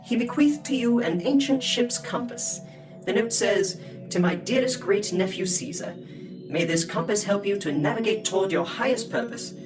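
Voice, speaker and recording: A male actor playing a polite, dutiful robot valet in a comedic sci-fi audio drama, with formal, deadpan butler diction. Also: high-pitched, moderate pace, music in the background, natural voice. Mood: dryly formal.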